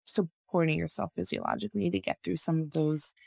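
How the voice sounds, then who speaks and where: A woman from Nigeria speaking as an interview guest, thoughtful and reflective, often laughing softly. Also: low-pitched, huge pitch swings, brisk, vocal fry, slightly rough, dry recording, clean studio sound. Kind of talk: conversational interview.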